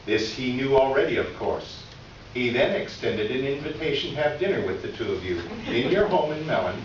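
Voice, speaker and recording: A man's voice: mid-range voice, close to an everyday voice. A man playing a genial, courteous older store clerk reading out a grateful letter in a staged performance. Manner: genial, chuckling storyteller, courteous. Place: echoey indoor room, recorded from afar. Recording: very echoey room, distant muffled mic, faint noise.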